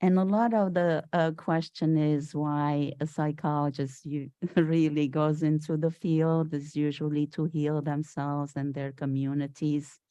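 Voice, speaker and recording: An older woman from Syria, giving a reflective, earnest personal talk as a guest speaker in a halting way. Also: sits low, flat delivery, mellow, smooth, dry recording. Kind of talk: personal inspirational talk to a congregation with poem recitation.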